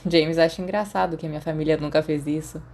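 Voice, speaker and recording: A Brazilian woman voicing a cheeky, teasing teenage boy wizard in a story, playful and quick to protest. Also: low voice, steady tone, a little mellow. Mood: casual.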